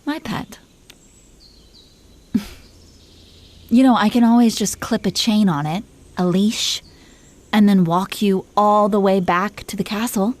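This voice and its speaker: A woman's voice: mid-range voice, slow pace, pauses often, close to an everyday voice. A woman playing an imperious, possessive dragon queen who teases her husband with condescending affection in an audio roleplay. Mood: sultry teasing. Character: haughty, teasing, possessive dragon queen and doting wife. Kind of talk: scripted roleplay monologue spoken to the listener.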